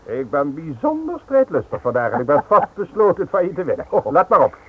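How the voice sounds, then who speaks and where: A man's voice: on the high side, very animated, a little fast, breathy edge. A man playing a genteel, jovial, playfully competitive British knight in a radio drama. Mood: playfully boastful. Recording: muffled, thin old radio recording.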